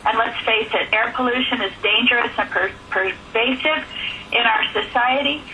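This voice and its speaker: A woman speaking earnestly and haltingly as a nurses' advocate on a press teleconference. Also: fairly high pitch, sharp tone. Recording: noisy telephone conference line.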